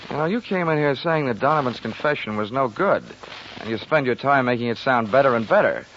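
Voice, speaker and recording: An actor playing a wry, hard-boiled insurance investigator in a 1940s radio detective drama, wisecracking and clipped, both in narration and in dialogue. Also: male voice, mid-range voice, animated, a little fast, fairly bright tone, slight background noise. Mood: sardonic. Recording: dull lo-fi 1940s radio recording.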